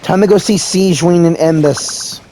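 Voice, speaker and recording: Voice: mid-range voice, moderate pace, dark tone, a little hiss or hum. A game player giving casual playthrough commentary, reacting aloud to story scenes.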